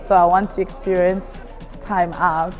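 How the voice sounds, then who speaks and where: A woman's voice: lowish voice, level tone, measured pace, over loud music. A woman from Zimbabwe chatting casually and candidly as a guest. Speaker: guest, Amapiano DJ and producer.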